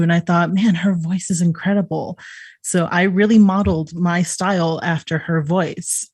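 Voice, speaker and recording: A woman playing an earnest young female pop singer in a tabletop game, low-voiced, thoughtful and conversational. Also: darkish tone, no echo, natural voice.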